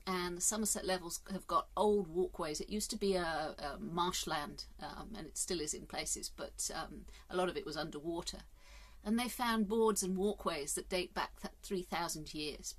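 A woman's voice: medium pitch, a bit bright. A woman in her fifties from England, musing earnestly in a casual vlog, filmed on a phone held at arm's length inside a caravan. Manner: earnest, musing, with hesitant fillers. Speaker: vlogger. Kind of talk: casual reflective monologue. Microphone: phone camera at arm's length.

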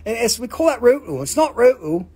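Husky high-voiced man selling rocks. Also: big pitch swings, fast, a little mellow. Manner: joking, profane, rambling. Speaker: host of a live rock sale. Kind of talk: fast live auction patter. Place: mineral shop indoors.

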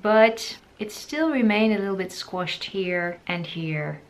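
A woman's voice: mid-range voice, expressive, slow pace, a little hiss or hum. A woman in her thirties chatting to the camera from her living room as she shows a craft project, wondering aloud and poking fun at her own skills. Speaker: sewing vlogger. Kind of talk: casual tutorial talk to camera.

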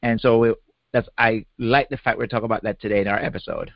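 A man's voice: fairly low pitch, steady tone, fast talker, gravelly, no echo. Jamaican man co-hosting a podcast. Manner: conversational, repeats words as he starts phrases. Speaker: podcast co-host. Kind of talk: casual chat. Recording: dry, slightly dull podcast audio.

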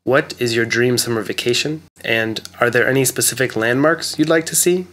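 A man narrating an English lesson, explaining words carefully and clearly. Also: medium pitch, normal speed, fairly bright tone. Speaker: English teacher. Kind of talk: slow scripted lesson narration.

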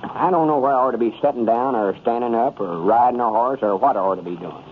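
A man plays a self-important old storekeeper from the rural South in a radio comedy. His drawl is folksy and stubborn, at a medium pitch. The old broadcast sounds tinny and faintly noisy. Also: fast talker, natural voice. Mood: pondering. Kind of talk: 1930s radio comedy acting.

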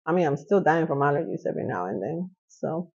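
Podcast guest: woman in her thirties from Costa Rica, gravelly, chatty, recorded lo-fi on a couch. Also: low voice, big pitch swings, fast, darkish tone. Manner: chatty, laughs often, trails off. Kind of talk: casual interview. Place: living room, sitting on a couch.

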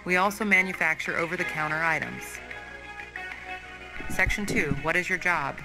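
A man playing a polite, impersonal corporate orientation narrator, reading a training script in measured, formal tones. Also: on the high side, rough recording. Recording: clear music bed behind.

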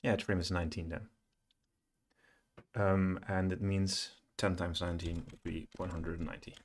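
A middle-aged man thinking aloud as he presents a coding screencast, halting and muttering to himself at a computer. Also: low-pitched, flat delivery, slight background noise. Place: small room indoors at home, at a computer. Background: keyboard typing and clicking.

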